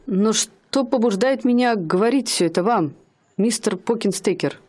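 An actress playing a haughty young woman posing as a high-society lady, speaking in an affected, aloof manner in a radio play. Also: lively intonation, normal speed, a little mellow, lightly acted.

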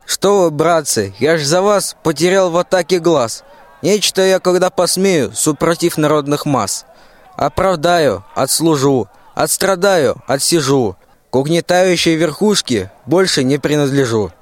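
A man's voice: a little high, lively intonation, a little slow, dry recording. A man in a radio play acting a grovelling, scheming army general who declaims excuses in rhyme. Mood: grovelling, blaming. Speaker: school student actor.

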